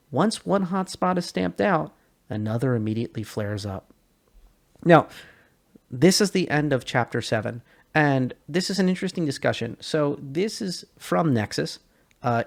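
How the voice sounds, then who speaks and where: A man hosting a video on game lore, presenting it in a measured, deliberate way. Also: mid-range voice, vocal fry. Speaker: host reading game lore and giving analysis. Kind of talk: dramatic read of game lore, then casual analysis.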